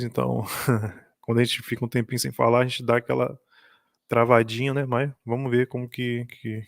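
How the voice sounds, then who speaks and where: A Brazilian man with a Brazilian Portuguese accent chats on a live stream at a middling pitch. He is talkative and laughs often, and he stumbles a little when he speaks English. His voice sounds dry and close. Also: vocal fry, dry recording. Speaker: host practicing English with strangers. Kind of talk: casual live-stream chat.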